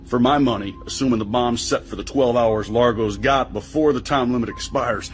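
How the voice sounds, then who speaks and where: A man's voice: mid-range voice, normal speed, close to an everyday voice. An American man playing a confident, wisecracking agent in a radio drama, with a colloquial way of talking.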